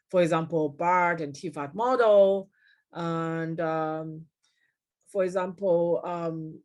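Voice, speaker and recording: Middle-aged woman lecturing with many fillers. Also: on the low side. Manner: frequent fillers and self-corrections. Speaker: keynote speaker. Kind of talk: technical lecture over slides. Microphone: webcam at desk, video call.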